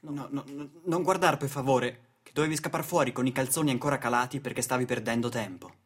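A man's voice: medium pitch, fast talker, husky. A man, an actor-narrator delivering a theatrical political monologue in a dramatic, sardonic, emphatic way.

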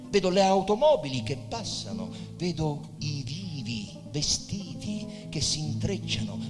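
Dreamy dying poet, courteous, melancholy, mid-pitched, theatrical literary stage delivery, hall reverb, music behind. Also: male voice. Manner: theatrical, measured, expressive phrasing. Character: weary, dreamy male poet near death, courteous and melancholy. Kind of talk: literary stage monologue and narration.

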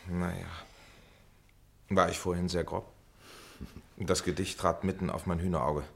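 A man's voice: low-pitched, flat delivery, unhurried, vocal fry. A man acting a bitter, self-weary middle-aged former pupil in a radio play, with a dry, sardonic, brooding delivery. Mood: wry, weary.

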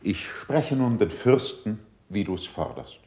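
An actor playing a measured, worldly, admonishing senior courtier in a classical verse radio drama, speaking gravely with a gravelly voice. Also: male voice, lowish voice, big pitch swings, warm tone, heavily acted. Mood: grave warning. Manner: deliberate, grave, weighty declamation.

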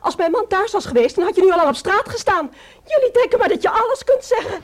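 Indignant, high-voiced, fast-talking mother. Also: expressive. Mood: indignant, reproachful. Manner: complaining, reproachful, prim. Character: indignant, self-pitying middle-aged mother of a student. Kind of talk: Dutch radio play acting.

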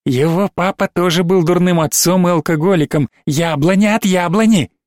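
A man voicing a cruel, mocking possessed little girl in an audiobook, with a shrill, drawn-out taunting voice. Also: a little mellow, no echo, clean studio sound, natural voice. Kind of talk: audiobook reading.

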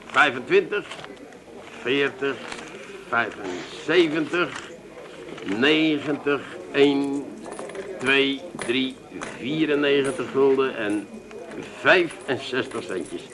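An actor playing a gloomy, distrustful, penniless brother-in-law with an Amsterdam accent in a radio comedy. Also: male voice, mid-range voice, takes it slowly, long pauses, a crowd in the background, lightly acted, poor audio. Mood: resolved, dramatic. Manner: complaining, theatrically self-pitying.

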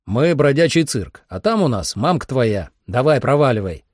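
Man acting a brash, husky tough-guy monster hunter. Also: medium pitch, big pitch swings, moderate pace, a little mellow, no room sound, studio quality, voice pushed up. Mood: mocking. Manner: crude, bullying, jokey.

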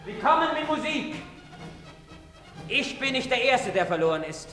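A man's voice: very high voice, sing-song, moderate pace, faint music behind, exaggerated voice. An actor playing a defiant, wounded young man in a theatrical drama, declaiming with bitter resignation. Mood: agitated outcry. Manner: declamatory, swinging from hushed to outcry. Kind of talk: theatrical radio drama acting. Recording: long hall reverb, some noise.

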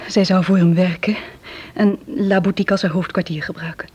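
An actress playing an anxious, addicted woman making a halting confession in a Dutch radio drama. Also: lowish voice, fairly even intonation, darkish tone, slightly rough. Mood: tense, confessing. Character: anxious drug-addicted young woman confessing a criminal scheme. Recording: muffled, lo-fi old radio play recording.

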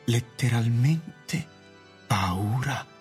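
Halting, stammering, anxious gentleman doctor. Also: medium pitch. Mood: anxious, shaken. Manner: hesitant, stammering, coughing. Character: middle-aged doctor, anxious, homebound gentleman of future. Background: music.

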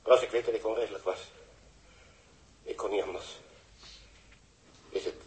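A man's voice: lowish voice, steady tone, normal speed, darkish tone, natural voice, rough recording. A man playing a distraught, pleading father at ground control in a 1960s Dutch radio drama, stammering and overwrought, with a Netherlands accent. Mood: anxious, stunned.

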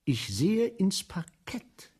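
A timid minor functionary, eager to obey. He speaks slowly and hesitantly in a dark, muted voice with a husky edge, in the style of a seventies radio play. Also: sits high, very animated, heavily acted. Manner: obedient, hesitant. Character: meek, deferential male minor official, a theatre guest. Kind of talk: German radio play acting, 1970s.

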